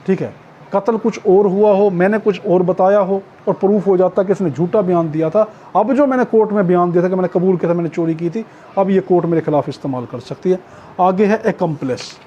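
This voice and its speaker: Pakistani guy lecturing, pretty high but soft-edged mellow voice, repeats points to drive them home, noisy recording. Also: dull audio. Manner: emphatic, repetitive, checks understanding with rhetorical questions. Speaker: law teacher. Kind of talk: explanatory exam-prep lecture with acted-out examples.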